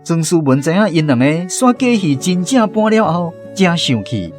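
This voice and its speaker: A man's voice: on the high side, big pitch swings, moderate pace, faint music behind. A man with a Taiwanese accent, delivering scripted narration as the host of a radio drama programme.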